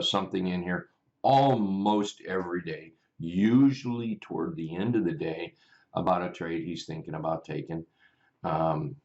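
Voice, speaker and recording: A low-pitched man with a raspy, gravelly morning voice talks through market charts live. He rambles in a chatty way and stops to correct himself. The sound is dull and lo-fi. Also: a little mellow. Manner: chatty, rambling, self-correcting, greets viewers by name. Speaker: stock market livestream host. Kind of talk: casual live chart commentary.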